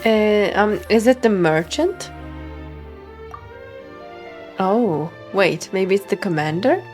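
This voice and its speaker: A woman's voice: mid-range voice, expressive, slow pace, darkish tone. A woman game streamer giving casual let's play reactions and comments. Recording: game music behind the voice.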